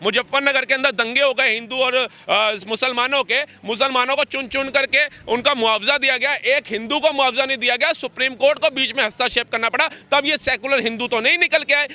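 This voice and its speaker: A man's voice: very high voice, sharp tone. A man arguing combatively and emphatically in a street interview, firing rhetorical questions. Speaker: interviewee giving political opinions. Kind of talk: impassioned street interview argument.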